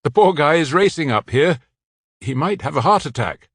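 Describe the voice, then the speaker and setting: A man reading the part of a patient country policeman who talks in a calm, coaxing, matter-of-fact way. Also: fairly high pitch, moderate pace, slightly rough, no echo, studio quality, voice pushed up, heavily acted.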